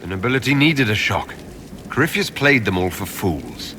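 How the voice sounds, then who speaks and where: An actor playing a blunt, haughty aristocratic hero in a fantasy video game, cool, clipped and formal. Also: male voice, fairly low pitch, sing-song, normal speed, background noise. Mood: cool disdain. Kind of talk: video game character dialogue.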